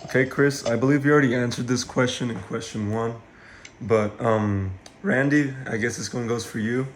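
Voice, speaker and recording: A man's voice: mid-range voice, steady tone, normal speed, slight background noise. A young man hosting a casual podcast with friends, reading out his questions from a script. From Mexican-American from West Texas. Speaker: podcast host.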